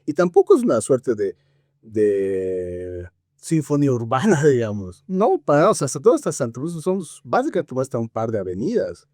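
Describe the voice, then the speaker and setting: A man with a Bolivian Spanish accent talking film criticism conversationally as a podcast co-host, haltingly searching for words with frequent filler tags. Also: mid-range voice, wildly swooping pitch, warm tone, no room sound, clean studio sound.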